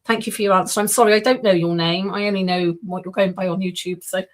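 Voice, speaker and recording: Brief: female co-host, hoarse voice, chatty and agreeable, relays viewer questions. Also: mid-range voice, fairly even intonation, quick pace. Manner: warm, agreeable, often laughs and affirms. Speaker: co-host reading audience questions. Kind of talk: casual conversational Q&A.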